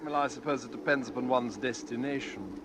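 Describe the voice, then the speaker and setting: An actor playing a smug, genteel stranger with a condescending, suave manner in an old-time radio drama. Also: male voice, mid-range voice, brisk, close to an everyday voice. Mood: politely superior. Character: smug, genteel, sinister male stranger boasting of murder. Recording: noisy vintage radio recording.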